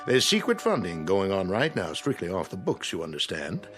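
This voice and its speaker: An actor playing an intense, cryptic gentleman in a radio play, insistent and insinuating. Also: low voice, huge pitch swings, normal speed, over music. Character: intense, cryptic club gentleman arguing speculative ideas.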